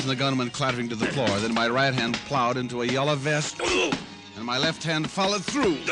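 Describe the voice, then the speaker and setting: An actor playing a wry, cool-headed hard-boiled private detective in an old radio crime drama. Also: male voice, mid-range voice, natural voice. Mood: tense narration. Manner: wry, sardonic, breezy. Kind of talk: 1940s-50s radio detective acting, dialogue and narration. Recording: old radio broadcast recording.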